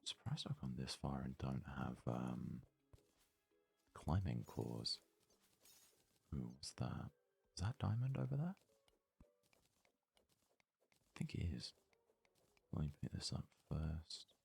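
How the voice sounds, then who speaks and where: A man giving casual ASMR let's play commentary in a very soft, hushed, breathy voice, murmuring his thoughts aloud without hurry. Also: rumbling low, expressive. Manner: unhurried, murmured thinking aloud. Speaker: gaming video host.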